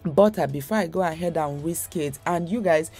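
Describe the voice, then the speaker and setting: A woman's voice: low voice, moderate pace, dark tone, husky, soft background music. A young woman hosting a home cooking channel, talking viewers through a recipe in a chatty, rambling way with lots of fillers and restarts. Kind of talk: casual recipe voice-over.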